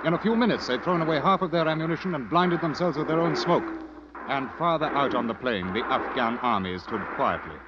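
A man narrating a radio war adventure in a grave, literary, measured storytelling manner. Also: a little high, expressive, dull audio, noisy background, lightly acted. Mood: tense, grave. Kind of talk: 1940s-50s radio drama narration. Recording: old band-limited radio recording with music.

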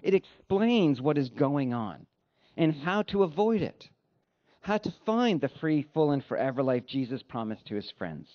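Man preaching with animated, swinging pitch. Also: a little slow. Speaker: pastor. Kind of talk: sermon. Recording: dull, lo-fi sound.

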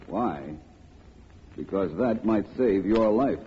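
An actor playing a cool, shrewd, commanding gentleman detective in a 1940s radio drama, speaking in a measured, articulate way. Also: fairly low pitch, expressive. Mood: grave, pressing. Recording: muffled, noisy old radio recording.

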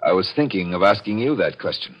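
An actor playing a jealous, paranoid husband confessing a murder plot in dramatic, deliberate 1940s radio suspense narration. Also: male voice, low-pitched, animated, poor audio. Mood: brooding, suspicious. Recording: old radio recording, organ music behind.

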